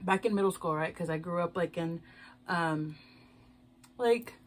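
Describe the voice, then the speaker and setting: A woman's voice: lowish voice, measured pace. Rambling young woman doing makeup. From Houston, Texas. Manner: rambling, loose, swears casually, self-mocking. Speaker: beauty vlogger. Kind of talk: casual chatty get-ready-with-me. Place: small decorated bedroom, indoors.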